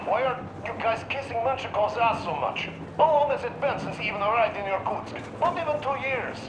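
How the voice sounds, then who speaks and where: An actor playing a cocky, irritable radio operator in a video game, brash and sardonic over a two-way radio. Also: male voice, very high voice, lively intonation, brisk. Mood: defiant. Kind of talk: dramatic game dialogue. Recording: echoey, noisy radio transmission.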